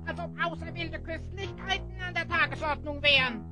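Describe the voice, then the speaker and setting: A small, chattering fantasy sidekick in an audio drama. The voice is extremely high and squeaky. It grumbles cheekily and sounds naive. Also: moderate pace, music in the background. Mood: grumbling. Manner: chattering, complaining.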